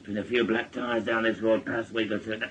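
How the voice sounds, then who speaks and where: A man's voice: on the low side, level tone, a little fast, a bit bright, slight background noise, lightly acted. An actor playing a stubborn, hot-tempered middle-aged working-class father with a Northern English accent in a naturalistic radio drama. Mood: irritable, jokey.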